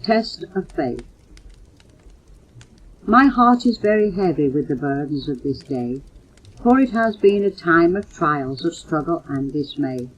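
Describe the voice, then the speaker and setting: Older female poetry reader, low pitch, unhurried earnest delivery, dull lo-fi home tape sound. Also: animated. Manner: measured, earnest recitation, announcing titles and authors. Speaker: mother reading poems for her children. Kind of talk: recited poetry reading. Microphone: home cassette recorder. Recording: muffled old home audio cassette.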